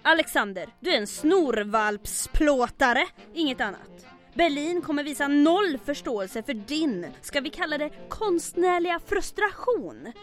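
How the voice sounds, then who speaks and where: An actress playing a sharp-tongued, sarcastic, defiant woman with a caustic manner in an old-time radio comedy drama. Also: high voice, sing-song, faint music behind. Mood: scolding. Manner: caustic, withering. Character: sharp-tongued, sarcastic, defiant reluctant female poster model.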